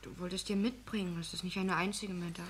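An actress playing a subdued, dutiful housewife who answers submissively in a 1960s German radio play. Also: female voice, on the low side, steady tone, fast, close to an everyday voice. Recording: noisy old radio recording.

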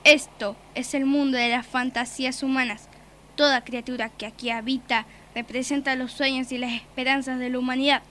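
Sharp, menacing beast. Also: female voice, high voice, fairly even intonation, normal speed, slight background noise. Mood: mocking. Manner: taunting, commanding. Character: menacing beast, servant of the Nothing, mocking predator. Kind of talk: radio drama acting.

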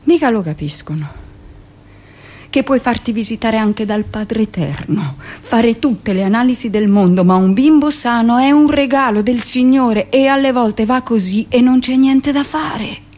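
A woman playing a middle-aged midwife in a theatrical radio monologue, telling her story in an emphatic, colloquial way, brisk and self-justifying. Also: fairly high pitch, a little mellow. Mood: brisk, patronizing.

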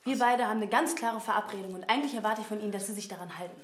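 A woman's voice: mid-range voice, rapid-fire, lightly acted. An actress playing a cool, businesslike young woman dealer, controlled and curt, in a naturalistic radio drama. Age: young adult. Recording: roomy, faint background noise.

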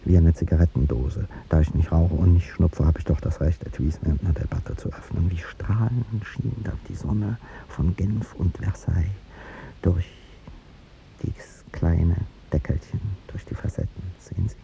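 Wistful old statesman, gravelly and low. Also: warm tone. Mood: tender, nostalgic. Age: older. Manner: courtly, reflective, halting theatrical delivery. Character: aging male statesman, wistful, courtly, devoted to younger woman. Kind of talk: 1950s German radio drama acting. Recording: dull, noisy 1950s radio recording.

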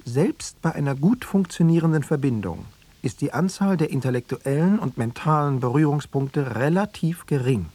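A man's voice: fairly high pitch, lively intonation, normal speed, warm tone. An actor playing a cool, pedantic male East secret police analyst, lecturing in in a self-assured way. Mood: insistent lecturing. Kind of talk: radio play dialogue.

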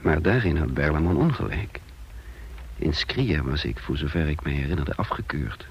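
A man acting in a radio drama as a brisk, authoritative army officer giving clipped orders. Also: very deep voice, lively intonation, husky, muffled recording, heavily acted. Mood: reflective, subdued. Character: brisk commanding male military officer leading a raid.

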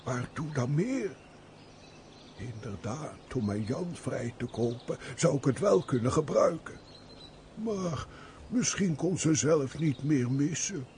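An actor playing a pious, frail peasant of about seventy in a theatrical radio play, with an aged voice and emotive sighs. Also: male voice, on the high side, animated, a little mellow. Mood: humble. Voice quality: creaky, aged voice. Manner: slow, emotive, full of sighs and exclamations. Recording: faint background noise, old radio play.

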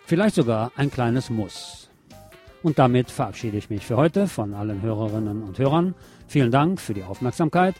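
A man's voice: medium pitch, lively intonation, moderate pace, a little mellow, faint music behind. A man presenting a scripted film review as a radio host.

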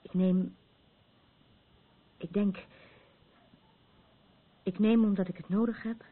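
A woman's voice: mid-range voice, fairly even intonation, slow. An actress in a radio play playing a worn-out, frayed woman who broods and repeats her phrases. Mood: edgy chatting. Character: worn-out, frayed woman burdened by an unstable man. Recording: thin phone-like old radio recording, noisy.

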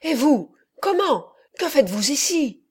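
A woman doing a shrill flustered old housekeeper. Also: lively intonation, moderate pace, a little mellow, lightly acted. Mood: breathless questions. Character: flustered older priest's housekeeper, bossy.

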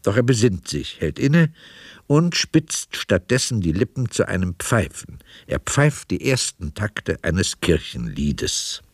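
A man narrating a radio drama from a script in a measured, descriptive way. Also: fairly low pitch, big pitch swings, a little hiss or hum.

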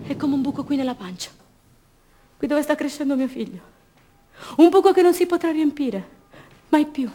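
High-voiced, emotionally raw young mother. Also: noisy background. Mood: sorrowful. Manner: theatrical, repetitive, emotionally raw delivery. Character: anguished young imprisoned mother, defiant, tender, fearful. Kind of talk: radio theatre monologue.

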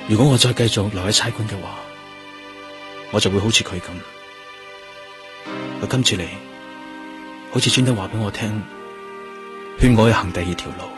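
A man as brooding policeman, low voice, Hong Kong Cantonese accent, earnest, restrained, introspective radio drama, music underneath. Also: normal speed, pauses often, natural voice. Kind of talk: Cantonese radio drama acting with inner monologue.

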